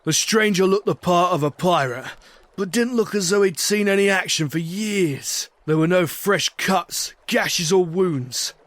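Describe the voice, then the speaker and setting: A man as a high-pitched pirate captain storyteller. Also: a little slow, fairly bright tone, no echo. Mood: reflective storytelling. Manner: salty pirate talk, theatrical. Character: swashbuckling bearded pirate captain recounting his sea tales. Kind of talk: dramatic scripted storytelling with voice acting.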